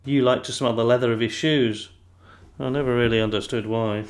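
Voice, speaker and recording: An actor playing a gentle, chatty elderly grandfather in an audio play, fond, reflective and a little halting. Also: mid-range voice, steady tone, lightly acted. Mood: reminiscing. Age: older.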